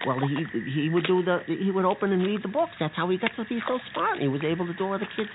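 A man's voice: sits high, lively intonation, fast talker. Man as a fussy, stammering, exasperated cartoonish hero. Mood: earnest, worried. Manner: stammering, fussy, repeats himself. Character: anxious, exasperated male rescuer in a children's story. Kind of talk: improvised children's radio drama. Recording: tinny, noisy radio-like sound.

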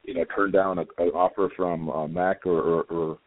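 A hoarse man calling in as a guest on a radio show, talking haltingly with a lot of fillers. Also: low voice, flat delivery, no echo. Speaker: prep school guest. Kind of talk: phone interview. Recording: dry, tinny phone line.

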